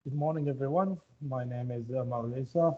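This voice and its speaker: A man's voice: mid-range voice, brisk. A man from Syria, politely introducing himself to a class and chatting with the teacher. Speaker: student. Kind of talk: self-introduction. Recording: dull lo-fi video call audio.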